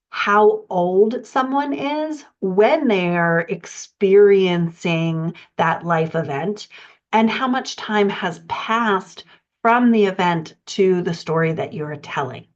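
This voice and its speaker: A woman speaking as a writing coach, giving a measured, methodical instructional explanation point by point. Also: mid-range voice, dull audio.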